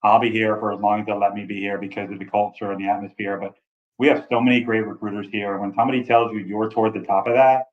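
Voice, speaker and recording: Energetic recruiter man with a big voice. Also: on the low side, fast, phone-quality sound. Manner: energetic, enthusiastic storyteller. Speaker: travel nurse recruiter. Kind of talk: casual interview talk.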